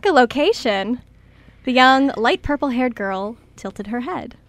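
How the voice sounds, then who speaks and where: A woman's voice: on the high side, lively intonation, measured pace, no echo. A woman reading scripted story narration as the narrator of a radio play.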